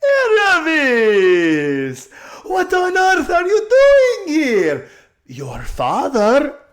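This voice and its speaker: Man putting on a high, giggly young society lady. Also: wildly swooping pitch, very slow, echoey room, heavily acted. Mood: excited, gushing. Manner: gushing, drawling, calls everyone darling. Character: flighty, giggly, self-absorbed young noblewoman, lazy society drawl.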